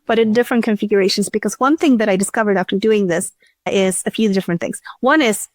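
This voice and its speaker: A woman's voice: mid-range voice, fast, no room sound. A woman talks as an interview guest, walking through a demo in casual, step-by-step fashion. Her voice is recorded dry and close, with no room sound. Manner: step-by-step, informal explaining. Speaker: podcast guest, startup chief executive. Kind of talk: casual product demo explanation.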